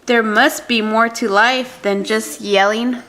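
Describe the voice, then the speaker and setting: A woman's voice: on the high side, steady tone, slow, bright, forceful tone, a little hiss or hum. A woman voicing a bored, weary male skeleton from a storybook, lamenting theatrically in rhyme and yearning for something new. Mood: weary, fed up.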